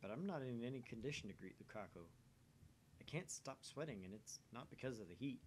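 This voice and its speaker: A man's voice: medium pitch, lively intonation, a little fast, lightly acted. A man voicing an intense, bewildered young man from a visual novel, dramatic and questioning. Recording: faint background noise.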